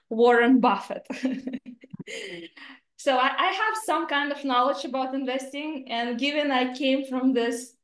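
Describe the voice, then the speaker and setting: A woman in her thirties with a Russian accent, speaking as a guest investor in a remote interview, with earnest, slightly halting phrasing. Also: a little high. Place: home office indoors. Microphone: laptop camera on video call. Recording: roomy video-call audio.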